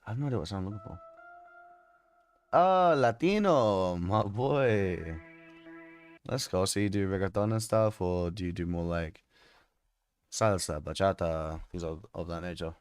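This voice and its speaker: Low-voiced Australian guy, laid-back streamer. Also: measured pace, pauses often, clean tone, faint music behind. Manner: laid-back slangy talk, swears, says "bro" a lot. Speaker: streamer and beat maker. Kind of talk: casual live-stream chat with viewers. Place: home studio room indoors.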